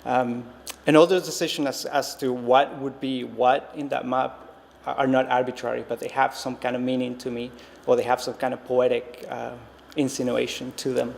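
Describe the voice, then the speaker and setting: A man's voice: mid-range voice, level tone, moderate pace, vocal fry, few pauses. A man in his thirties from Costa Rica, a native Spanish speaker, giving an artist talk with a reflective, hesitant manner full of ums and restarts, recorded in a reverberant gallery space. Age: 30s. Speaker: visiting artist. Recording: long-reverb hall, faint noise.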